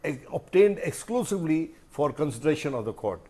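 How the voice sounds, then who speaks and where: A man's voice: fairly high pitch, very animated, brisk, mellow, breathy edge. A man with an Indian accent giving his opinions as a panel commentator in a TV studio, in an emphatic, conversational way.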